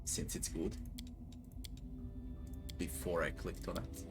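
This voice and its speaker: A man's voice: deep voice, fairly even intonation, slow pace, creaky. A man from Serbia with a Serbian accent gives casual game commentary, grumbling, swearing and muttering to himself. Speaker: gamer doing a playthrough. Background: faint game music.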